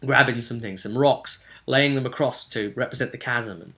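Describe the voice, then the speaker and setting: Man, mid-pitched, sharp bright tone, animated game master, emphatic repetition, rambling vlog commentary. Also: normal speed. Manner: animated, emphatic, repeats words for stress, acts out imagined players' remarks. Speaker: tabletop game master and vidcast host.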